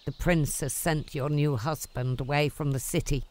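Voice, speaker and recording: A woman's voice: sits low, fairly even intonation, moderate pace, darkish tone, natural voice. Woman acting an anxious nurse, theatrical. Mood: anxious, urgent. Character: Juliet's anxious older nurse and stern Lady Capulet. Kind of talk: dramatized storybook dialogue.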